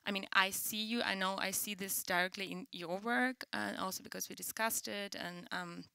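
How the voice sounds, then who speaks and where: A woman moderating a panel talk, her voice smooth but with a gravelly edge. She picks her way through her questions with many fillers and restarts. The sound is dry and close. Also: medium pitch, steady tone, moderate pace, bright, forceful tone, dry recording. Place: event stage.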